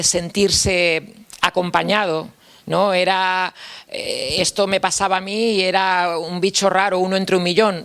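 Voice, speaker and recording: A man's voice: sits high, a bit bright. A man from Spain with a Spanish accent gives a personal testimony talk, reflective and candid, often repeating phrases and closing points with a questioning tag. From Spain, Castilla-La Mancha. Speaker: speaker at a mental health talk.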